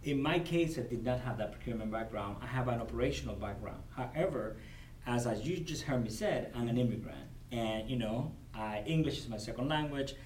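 A man's voice: medium pitch, brisk, darkish tone. Cuban-accented man, earnest, distant roomy sound. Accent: Cuban Spanish. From Cuba. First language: Spanish. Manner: earnest, repeats words while gathering thoughts. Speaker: guest, corporate supplier diversity director. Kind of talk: conversational podcast interview. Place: convention venue. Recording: roomy, distant mic with faint noise.

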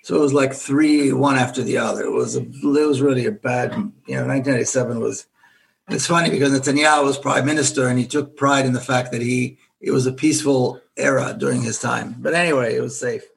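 A man's voice: medium pitch, fairly even intonation, fast, vocal fry, rough recording. A middle-aged man from Israel, an author talking as a guest in a podcast interview, reasoning aloud with frequent restarts. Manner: thoughtful, repeats and restarts while reasoning. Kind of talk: podcast interview, earnest explaining.

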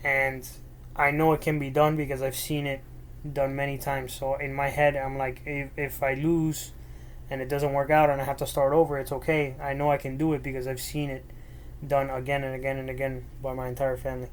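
A young man from Miami, Spanish his first language, talks earnestly and in a rambling way into his laptop in his bedroom. His voice stays fairly level and even in pitch, and a faint hum of room ambience sits behind him. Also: fairly quick. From Miami, United States. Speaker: podcast host. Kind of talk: solo personal storytelling monologue. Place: bedroom at home, alone. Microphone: laptop microphone.

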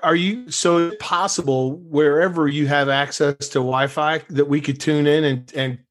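A man's voice: on the high side, steady tone, normal speed. Joking middle-aged male podcast host. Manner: joking, teasing. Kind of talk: casual podcast chat.

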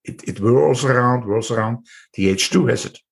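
Husky-voiced Austrian man, musing. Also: mid-range voice. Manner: musing, repeats phrases, drawn-out emphasis. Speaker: host. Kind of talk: reflective interview talk.

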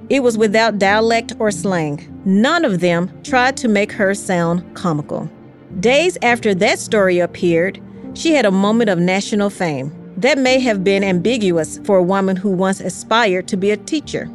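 A woman reading scripted history narration in a measured, carefully articulated storytelling way. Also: mid-range voice. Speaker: podcast narrator.

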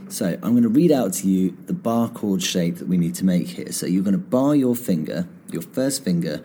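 A man hosting a guitar lesson podcast, calmly explaining finger positions step by step, his voice a little croaky. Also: low voice, normal speed, slight background noise. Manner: relaxed, step-by-step instruction. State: slightly croaky after singing. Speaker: guitar teacher and podcast host. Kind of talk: casual spoken guitar lesson. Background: guitar playing between phrases.

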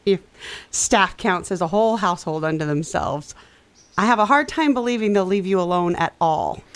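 A woman's voice: lowish voice, expressive, normal speed, lightly acted. A woman acting in an audio drama as a guarded but good-humoured young woman, with a wry, playful manner. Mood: hesitant, kind.

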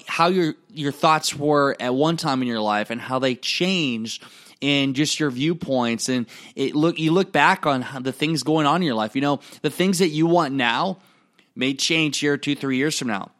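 A young adult man with an Iowa accent, hosting his own podcast and talking through his journey in an excitable, fast-when-excited way. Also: medium pitch. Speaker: podcast host. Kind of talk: reflective solo podcast monologue.

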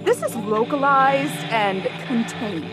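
An actress playing a composed government official giving a reassuring press briefing in an audio drama. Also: female voice, a little high, slow, over music, low-quality recording. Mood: firm, official. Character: composed female government official downplaying a crisis.

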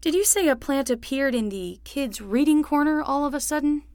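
A woman's voice: sits high, moderate pace, a little mellow, no echo. A woman playing a sensible, long-suffering young summer nanny in a fiction podcast, practical and politely exasperated. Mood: polite, probing. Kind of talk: scripted fiction podcast acting.

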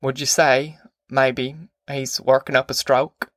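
A performer voicing a gentle, weary former preacher from a novel, quiet and plain-spoken. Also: mid-range voice, little pitch movement, takes it slowly, no room sound, studio quality, lightly acted. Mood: concerned.

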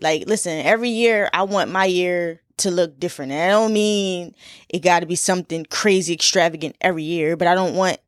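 A woman's voice: lowish voice, dry recording, clean studio sound. A woman in her twenties from Philadelphia, hosting a casual solo podcast in a chatty, laid-back way with lots of fillers and repeats. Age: 20s. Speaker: podcast host.

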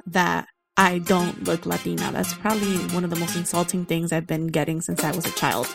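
A woman's voice: lowish voice, flat delivery, a little fast, creaky. A woman whose first language is Spanish, speaking English with a Spanish accent, hosting an emphatic, sassy comedic lesson. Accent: Spanish-influenced English. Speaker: host. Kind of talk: comedic educational monologue. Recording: salsa music playing behind.

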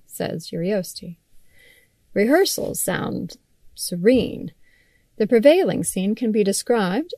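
A woman narrates a written feature article in a mid-range voice. Her phrasing is measured and articulate, with a wry touch and pointed emphasis on key words. The recording is very dry, with almost no room sound. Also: medium pitch, dark tone, dry recording. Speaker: magazine writer reading own article. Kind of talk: scripted article narration, read aloud.

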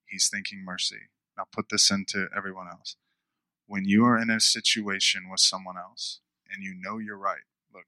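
A man preaching a conversational sermon, repeating phrases for emphasis, with a smooth radio-style voice. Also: deep voice, pushed and bright, no room sound, rough recording. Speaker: pastor.

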